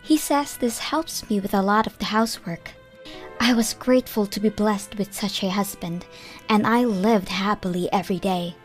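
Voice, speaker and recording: A young woman narrating a manga dub in first person, measured and earnest. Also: mid-range voice, faint music behind. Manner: measured storytelling, plain and earnest.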